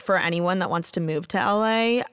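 A woman's voice: lowish voice, fairly bright tone, clear, resonant tone. Chatty young woman, full of "like". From Indiana, USA. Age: 20s. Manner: chatty, says "like" constantly, quick restarts. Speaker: podcast guest. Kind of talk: casual conversation.

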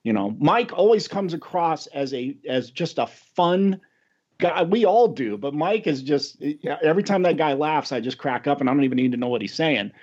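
A man's voice: a little high, expressive, moderate pace, darkish tone, husky. A middle-aged American man hosting a casual podcast chat, rambling and chuckling, a little self-deprecating. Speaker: podcast host. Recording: dull lo-fi audio.

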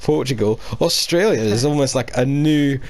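A man's voice: medium pitch, moderate pace, dry recording. A man hosting a casual sports podcast, chatty and hesitant with lots of fillers and restarts. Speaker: podcast host. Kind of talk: casual chat.

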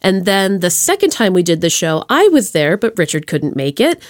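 A woman's voice: lowish voice, big pitch swings, normal speed, no room sound, clean studio sound. A woman hosting a podcast, chatting casually with playful enthusiasm and informal slang. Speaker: podcast host interviewing a guest. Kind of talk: casual upbeat chat. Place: remote video call.

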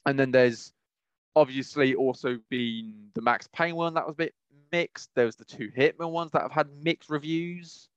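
A man's voice: mid-range voice, normal speed, smooth, no echo, muffled recording. A man hosting a podcast, chatting casually and opinionatedly in a rambling, emphatic way, often repeating phrases. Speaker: podcast host. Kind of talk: casual opinionated chat about films.

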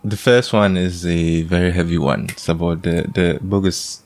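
A man's voice: low voice, steady tone, moderate pace, dry recording. A man with a Ghanaian accent co-hosting a podcast, chatty and repeating words as he talks. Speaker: podcast co-host, journalist. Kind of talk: casual chat.

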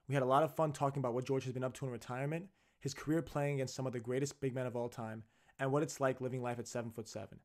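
Young man, podcast host, rapid talk, mid pitch, eager, filler-heavy chat. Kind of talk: casual interview chat.

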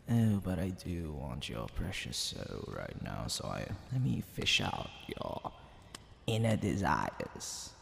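Man playing a creaky, drawling demon king. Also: sits low, full character voice. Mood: sly coaxing. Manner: theatrical, drawn-out, teasing delivery. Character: smug, flirtatious male demon king, lazily menacing and teasing. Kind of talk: ASMR roleplay monologue addressed to the listener. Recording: long hall reverb, background hiss and ambience.

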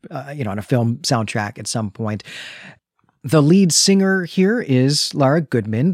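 A man's voice: mid-range voice, no room sound. Man co-hosting, in a dry, close recording. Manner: enthusiastic, opinionated. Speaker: podcast co-host. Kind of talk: conversational album review.

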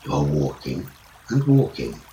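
A man leading a guided sleep meditation as a teacher, speaking in soothing, measured short phrases. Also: extremely low pitch, very animated, mellow. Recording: roomy echo, running water noise behind. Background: trickling stream water nature sounds.

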